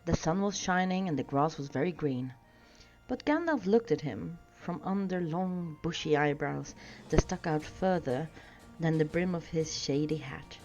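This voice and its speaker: A woman's voice: low-pitched, lively intonation, unhurried, darkish tone. A woman reading a storybook aloud as scripted narration. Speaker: audiobook reader. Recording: dull sound with music behind.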